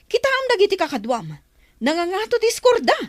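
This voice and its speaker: Radio drama role: suspicious, nagging wife and mother, shrill-high and highly animated delivery. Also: brisk, no room sound. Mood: scolding. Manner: sharp, nagging. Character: scolding, suspicious, harsh wife and adoptive mother.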